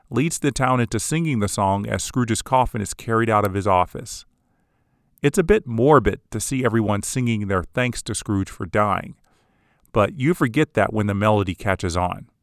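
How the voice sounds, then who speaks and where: Low-voiced man reviewing film songs. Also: gravelly, no room sound, studio quality. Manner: measured, analytical. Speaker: podcast host. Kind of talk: scripted film-history commentary.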